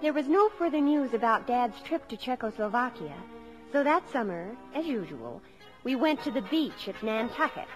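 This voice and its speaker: A young woman playing an earnest high-school daughter, telling her family's story expressively in a 1950s radio drama. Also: animated, moderate pace, close to an everyday voice, poor audio. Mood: fond, reminiscent. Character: bright, earnest eldest daughter, a high-school girl, narrating. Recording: old radio broadcast with loud music.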